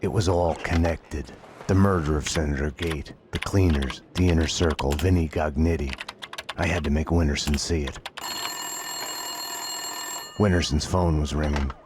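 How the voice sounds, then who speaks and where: A man voicing a world-weary hardboiled police detective in a video game, deadpan and sardonic, in noir style. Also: rumbling low, normal speed, long pauses, background noise. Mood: brooding. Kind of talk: noir voice-over narration and terse dialogue. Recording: music under the voice.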